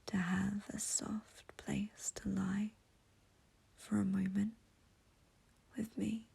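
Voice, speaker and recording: Female meditation guide, soothing and intimate, very breathy whisper with a soft, mellow tone. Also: mid-range voice. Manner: soothing, slow, gentle, intimate.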